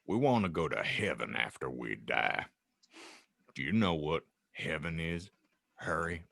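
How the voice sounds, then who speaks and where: Very deep, kindly gentle giant. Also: male voice, very animated, gravelly, no echo, clean studio sound, exaggerated voice. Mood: wise, gentle. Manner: slow, gentle, solemn. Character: kind, wise, giant bearded man, calmly preaching.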